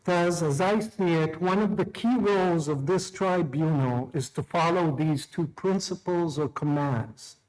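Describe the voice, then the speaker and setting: A middle-aged man from Israel, a law professor, delivering a measured, deliberate prepared speech with small restarts. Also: a little high, a little mellow, poor audio. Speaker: law professor opening a tribunal.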